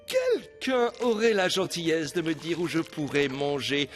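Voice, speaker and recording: A man's voice: fairly high pitch, very animated, unhurried. A man playing a sly, falsely friendly germ villain in a French cartoon dub, with mock-sweet, gloating delivery. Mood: sugary, scheming. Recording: music under the voice.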